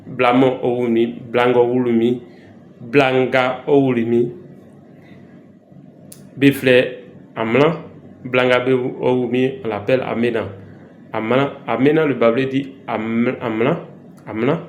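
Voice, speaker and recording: A man with an Ivorian French accent teaching a casual language lesson, slow and repetitive with frequent hesitations. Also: medium pitch, flat delivery. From Côte d'Ivoire. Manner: slow, repeats words for learners, frequent hesitations. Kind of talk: informal language lesson vlog. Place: travelling, recorded in an echoey room. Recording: echoey room, faint background hiss.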